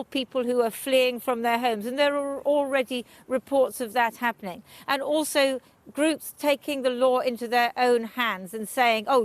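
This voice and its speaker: A woman, a foreign correspondent giving an earnest, measured news report. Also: a little high, no room sound.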